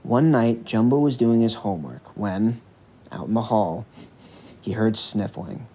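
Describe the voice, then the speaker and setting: A man narrating a scripted character backstory as an audio drama, in an expressive, dramatic storytelling manner. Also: on the low side, unhurried.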